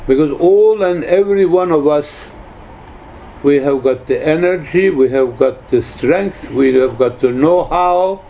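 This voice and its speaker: Slow-talking elderly man, dull recording. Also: on the high side, vocal fry. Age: 90s. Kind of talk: informal religious talk. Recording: dull lo-fi recording, faint background noise.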